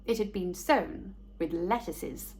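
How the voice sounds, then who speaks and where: A woman reading a children's story aloud as host, speaking directly to young listeners in a deliberate way. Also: fairly low pitch, a little mellow.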